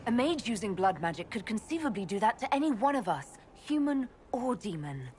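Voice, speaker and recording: A woman's voice: mid-range voice, very animated, brisk, a little mellow, a little hiss or hum, natural voice. A woman playing a composed, diplomatic leader in a video game, articulate and reasonable. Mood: reasoning. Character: composed female leader mage, diplomatic, steady.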